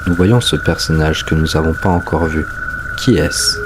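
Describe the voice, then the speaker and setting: A man's voice: very deep voice, moderate pace, heavy background noise. A man hosting and narrating a scripted explainer of a horror story. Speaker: host, narrator. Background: music.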